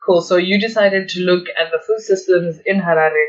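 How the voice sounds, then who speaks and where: A man's voice: high-pitched, moderate pace. A man hosting a podcast, chatting casually and encouragingly with a guest. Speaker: podcast host. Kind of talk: casual interview chat. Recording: dull lo-fi audio.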